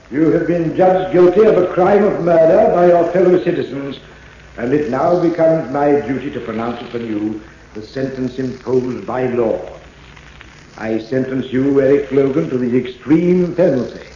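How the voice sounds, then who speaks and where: Actor as a solemn judge, heavily echoing. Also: male voice, on the high side, mellow, close to an everyday voice. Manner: formal, weighty, measured declamation. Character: stern solemn judge pronouncing sentence. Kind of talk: 1940s radio drama acting. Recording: dull old radio, very echoey, hissy.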